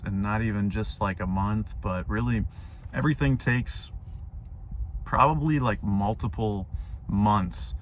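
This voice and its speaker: Hoarse young man vlogging from his car. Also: on the low side, a little hiss or hum. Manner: rambling, reflective, many fillers. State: hoarse, voice going out. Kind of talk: casual talking-to-camera vlog. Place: parked car.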